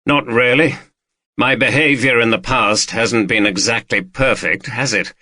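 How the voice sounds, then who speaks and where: Brief: narrator as a tired man, calm and firm, bright clean tone. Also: medium pitch, unhurried, dry recording, studio quality. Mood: soothing. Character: weary, soothing man with a past temper.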